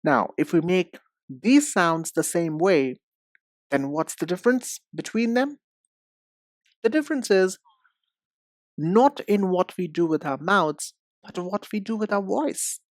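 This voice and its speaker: A man with an Indian accent giving an instructional English pronunciation lesson, speaking in a deliberate, carefully enunciated way. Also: high-pitched, very animated, no echo, clean studio sound. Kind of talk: instructional lesson with repeat-after-me drills.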